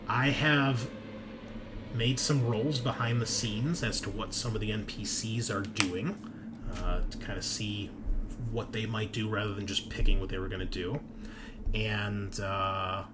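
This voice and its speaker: Male game master, low voice, conversational tabletop narration, clear background noise. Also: fairly even intonation, measured pace.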